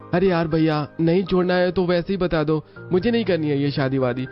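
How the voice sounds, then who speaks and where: A man's voice: on the high side, fairly quick, lightly acted. A teasing young office-going brother from a cartoon family story, acted by a man. He talks in a youthful, bantering way. The recording is dull, with faint music under the voice. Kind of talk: Hindi cartoon story dialogue.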